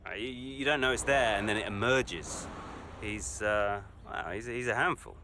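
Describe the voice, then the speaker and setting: An actor playing a cocky racing driver, talking in a smug, breezy, boastful way in a game cutscene interview. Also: male voice, on the low side, moderate pace, background noise, lightly acted. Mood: sarcastic, breezy. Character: cocky, self-satisfied male Formula One racing driver.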